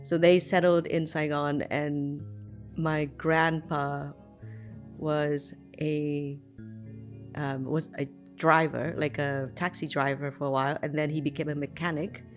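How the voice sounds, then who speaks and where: A woman's voice: deep voice, little pitch movement, unhurried, soft background music. A woman in her forties from Vietnam, recalling her childhood in an unscripted, reflective way, with frequent ums and likes and restarts in her sentences. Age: 40s. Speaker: migrant telling her life story. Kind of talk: unscripted personal memoir.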